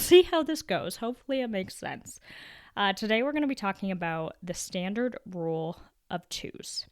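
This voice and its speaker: A young adult woman with a cold, her voice raspy and deeper than usual, chatting casually on her solo podcast with fillers and restarts. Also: no room sound, clean studio sound. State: hoarse from a cold. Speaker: podcast host.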